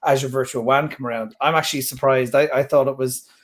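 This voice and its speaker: A man's voice: mid-range voice, steady tone, quick pace. A man in his thirties hosting a webinar Q&A from a home office, chatty and enthusiastic, often stumbling over words. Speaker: user group host. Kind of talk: casual webinar Q&A moderating.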